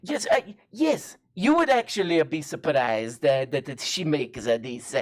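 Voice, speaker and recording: Someone putting on a halting fake-Italian chef. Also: medium pitch, expressive. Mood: earnest. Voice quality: bad fake Italian accent. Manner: hesitant, halting with many uh pauses. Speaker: comedy guest character.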